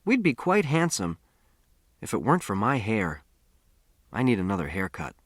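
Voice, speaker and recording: A man's voice: fairly low pitch, huge pitch swings, moderate pace, no echo, clean studio sound. A man playing an earnest, self-reliant runaway boy from New York in an audiobook, plain-spoken and matter-of-fact. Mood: pleased.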